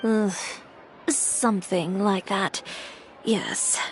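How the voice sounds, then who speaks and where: A woman playing a cool, confident young designer in an animated game, speaking in a dry, matter-of-fact, businesslike manner. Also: mid-range voice, drawn-out words, a little hiss or hum. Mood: composed. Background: background music.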